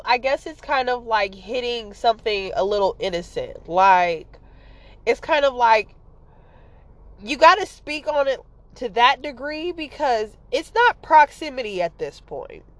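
A woman's voice: a little high, big pitch swings, unhurried, no echo. A woman with a stuffy nose giving a casual, blunt commentary monologue as a podcast host, rambling and full of fillers and swearing.

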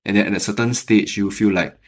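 A man's voice: lowish voice, steady tone, fast. A man hosting a podcast interview in an easygoing way, often dropping in "right". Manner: easygoing, frequent "right" fillers. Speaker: podcast host. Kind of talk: casual interview.

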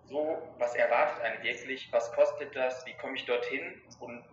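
A man from Germany, a travel blogger, talking relaxed and casual as a guest over a call, with many fillers. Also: mid-range voice, normal speed. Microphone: call audio. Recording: dull echoey call audio with faint noise.

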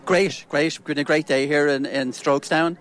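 A man's voice: a little high, steady tone, moderate pace, fairly bright tone. A middle-aged man with an Irish accent, a beekeeper at a show stall, talking in an easygoing and chatty way. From Ireland.

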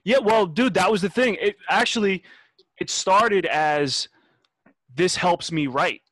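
A man's voice: sits high, slow, fairly bright tone, no room sound. A man in his twenties talking casually on a podcast, laid-back, swearing and restarting his sentences. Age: 20s. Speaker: podcast host.

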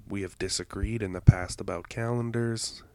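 A man hosting a podcast, congested from allergies, rambling in a halting way with many fillers and self-corrections. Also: on the low side, fairly even intonation, dark tone. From Canada. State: congested, stuffed-up nose. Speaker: podcast host. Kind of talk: rambling solo intro.

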